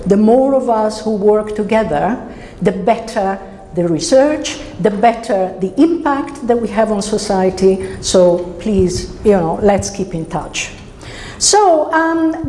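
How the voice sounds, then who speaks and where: A middle-aged woman with an Italian accent delivers an academic lecture in a conversational way, feeling her way through phrases. Her voice is dark and mellow at a medium pitch. The sound is roomy and reverberant, as in a lecture hall. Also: slight background noise. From Italy. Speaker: university researcher giving a symposium lecture.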